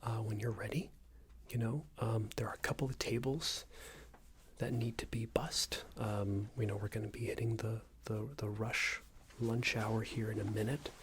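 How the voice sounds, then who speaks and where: A man's voice: lowish voice, steady tone, normal speed, slight background noise. Whispering man as a condescending boss. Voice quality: soft, breathy whispering and murmuring. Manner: hesitant, repetitive, patronising workplace talk. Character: passive-aggressive, condescending male company boss. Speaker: ASMR roleplay performer. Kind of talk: ASMR roleplay, improvised monologue.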